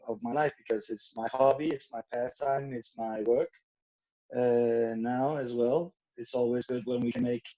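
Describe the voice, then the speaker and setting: A man's voice: mid-range voice, dark tone. A middle-aged man from Denmark, chatting casually as a guest on a video call, rambling with many fillers and restarts. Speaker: guest, rum brand owner. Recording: dull lo-fi video call audio.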